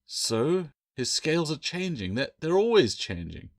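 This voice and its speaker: A man's voice: fairly high pitch, very animated, measured pace, no echo, clean studio sound, voice pushed up. A man voicing an anxious, defiant young orphan girl in a fantasy audiobook, pleading and insistent. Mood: worried.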